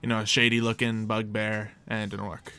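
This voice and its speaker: A man's voice: fairly low pitch, level tone, moderate pace, sharp tone, smooth. A man working as game master, narrating a tabletop game in a casual, improvised way.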